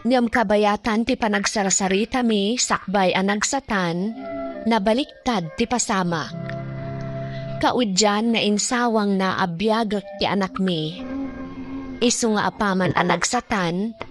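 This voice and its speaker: Doctor, played by an actress, radio drama, earnest and explanatory, mid pitch over faint music. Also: female voice, a little slow, close to an everyday voice. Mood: earnest, sorrowful. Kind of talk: Ilocano radio drama acting.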